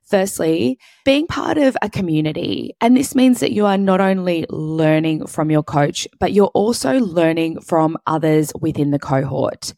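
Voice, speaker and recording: Husky hoarse woman podcasting, fairly low voice, dry close sound, lays stuff out in lists. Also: expressive, measured pace, few pauses, mellow, dry recording. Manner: explains step by step in clear lists. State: hoarse, sore throat. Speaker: podcast host and business coach. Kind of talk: solo podcast teaching.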